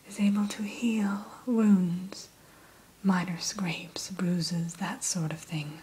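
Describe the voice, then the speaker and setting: Brief: woman, low-ish whisper, very slow, gentle shopkeeper-like ASMR guide, close mic. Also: a little hiss or hum, rough recording. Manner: soft whisper throughout; gentle, unhurried, mildly formal. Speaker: hatchery keeper in roleplay. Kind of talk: ASMR roleplay.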